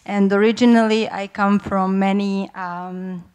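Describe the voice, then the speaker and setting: A woman's voice: mid-range voice, steady tone, measured pace, a little mellow, smooth. A young woman from the former Yugoslavia giving a conference presentation as a researcher, slightly nervous and correcting herself.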